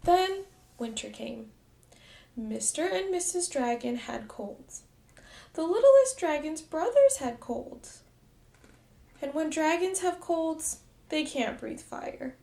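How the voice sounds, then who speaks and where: Animated, high-voiced woman reading to preschoolers. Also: slow, creaky. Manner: animated, expressive, addresses young children. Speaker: preschool teacher reading a picture book. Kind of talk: children's storytime read-aloud with direct address.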